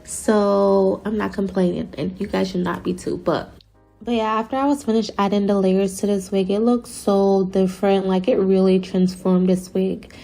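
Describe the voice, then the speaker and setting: Raspy chatty woman reviewing a wig. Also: medium pitch, fairly even intonation, normal speed, dark tone, roomy sound, soft background music. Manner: chatty, gushing, says "you guys" often. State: tired, late-night. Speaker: beauty vlogger. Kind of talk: casual product review voiceover. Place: home at night, sirens outside.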